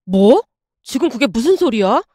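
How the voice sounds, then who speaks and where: A woman's voice: fairly high pitch, sing-song, normal speed, dark tone, no room sound, studio quality. A woman voicing an exasperated, indignant young man in a dramatized story, blunt and scolding.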